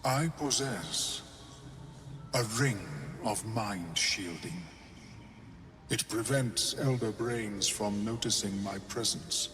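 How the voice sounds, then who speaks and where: An actor playing an ancient, courteous, calculating mind flayer in a video game, speaking formally and deliberately. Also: male voice, on the low side, over music. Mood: calm, calculating. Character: ancient, courteous, calculating male illithid, calm and cerebral. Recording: long cavernous reverb.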